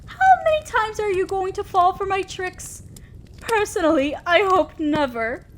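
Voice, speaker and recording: A woman's voice: extremely high pitch, expressive, measured pace. A woman playing a commanding, teasing but protective demon lord in a scripted audio roleplay, speaking to the listener in an imperious, theatrical way. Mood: teasing, amused. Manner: imperious, theatrical, mock-scolding. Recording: faint ambient noise, crackling fire.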